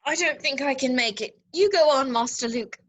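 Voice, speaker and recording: A woman acts out a polite robot servant in a sci-fi table read. The voice sits fairly high. The droid is fretful and melodramatic, bemoaning its lot in a plaintive, fussy manner. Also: normal speed, a bit bright. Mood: dazed, plaintive. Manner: fussy, plaintive, self-pitying. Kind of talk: script table read acting.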